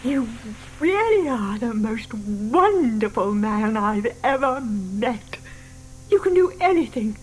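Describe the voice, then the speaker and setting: A woman's voice: fairly high pitch, very animated, slow, rough recording. An older woman acting a sweet, gushing lady who dotes on her pet, in a children's audio drama. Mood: gushing, grateful.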